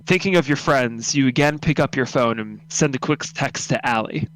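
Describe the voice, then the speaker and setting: A man narrates an audio drama in the second person, reading from a script. His pitch sits in an ordinary middle range. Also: normal speed, fairly bright tone, low-quality recording. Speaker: narrator of a radio drama read-through.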